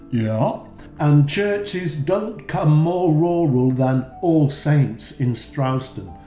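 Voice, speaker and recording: Slow, dry-humoured man narrating a church tour. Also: on the high side, a little mellow, roomy sound. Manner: slow, dry wry asides. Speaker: church tour video host.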